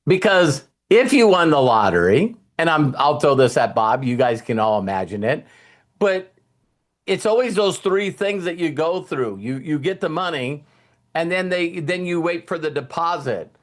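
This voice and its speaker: A man's voice: on the high side, lively intonation, moderate pace. A man hosting a casual motivational talk to a group, speaking informally and checking in with his listeners.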